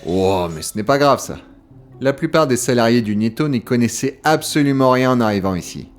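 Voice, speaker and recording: Man playing a wry, lively office guide. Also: mid-range voice, brisk, close to an everyday voice. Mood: reassuring. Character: wry, reassuring male office guide, patient veteran employee. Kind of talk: French audio comedy serial dialogue.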